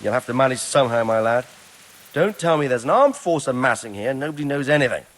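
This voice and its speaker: An actor playing an authoritative, cautious older lord in a video game, commanding yet fatherly, with formal and deliberate phrasing. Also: mid-range voice, expressive, close to an everyday voice. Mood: commanding, urging. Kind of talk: dramatic video game dialogue.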